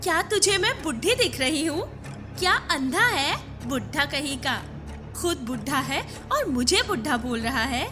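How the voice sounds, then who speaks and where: A woman plays a gloating village woman in a cartoon, freshly young and full of herself. Her high voice swoops around in slow, sing-song taunts, with clear music behind it. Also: sing-song. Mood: scolding. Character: boastful, taunting old woman magically turned young. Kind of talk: exaggerated Hindi cartoon story acting.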